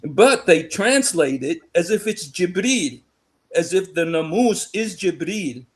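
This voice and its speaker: Excitable man with emphatic restarts. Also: sits high, slow. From United States. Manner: emphatic, excitable, frequent restarts and repeated words. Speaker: panel guest. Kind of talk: casual online panel discussion.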